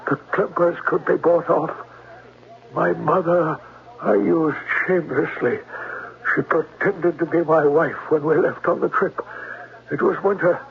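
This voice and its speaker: Sinister, gravelly manor master, tinny old radio. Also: male voice, on the high side, steady tone. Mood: cold confession. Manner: menacing, deliberate, formal. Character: sinister guilt-ridden manor master, a confessed murderer. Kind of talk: 1970s radio drama acting. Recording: tinny, noisy old radio broadcast recording.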